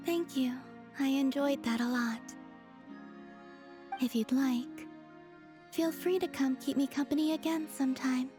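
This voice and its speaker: A woman voicing a serene young moon goddess in a video game, soft-spoken, composed and unhurried. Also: high-pitched, steady tone. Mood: gently pleased. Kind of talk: animated video game voice acting. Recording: faint music behind the voice. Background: faint game music.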